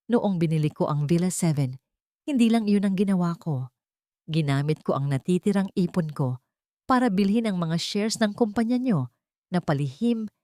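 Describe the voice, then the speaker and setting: A woman's voice: fairly low pitch, warm tone, dry recording, studio quality, close to an everyday voice. Woman playing a resolute, forgiving young wife.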